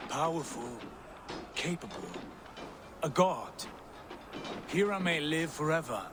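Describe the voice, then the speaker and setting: An actor playing a proud, bitter Viking hungry for glory in a video game, with intense, brooding delivery. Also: male voice, a little high, animated, slow pace, noisy background. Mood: proud, defiant. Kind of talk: dramatic video game acting. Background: game music.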